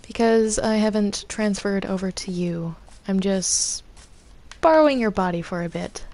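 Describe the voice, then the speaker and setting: A woman's voice: mid-range voice, measured pace, darkish tone, natural voice. A woman voicing a smug, controlling female spirit, talking with condescension in a game roleplay. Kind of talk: improvised Minecraft roleplay.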